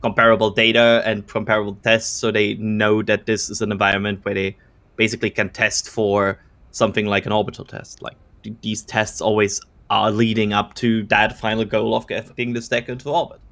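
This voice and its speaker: Bright-voiced man, hesitant co-host. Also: lowish voice, level tone, brisk. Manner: hesitant, self-correcting, speaks carefully. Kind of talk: casual live commentary.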